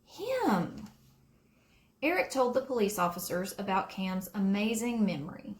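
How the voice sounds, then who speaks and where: A woman reading a children's storybook aloud in a deliberate, expressive storytelling manner. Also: medium pitch. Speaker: storybook reader. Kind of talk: read-aloud narration for children. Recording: roomy, echoing sound.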